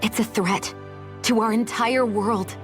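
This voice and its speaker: Resolute young heroine, soft music behind. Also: female voice, on the high side, fairly even intonation, slow. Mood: solemn, resolute. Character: resolute, self-sacrificing young heroine, gently serious. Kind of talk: video game cutscene acting.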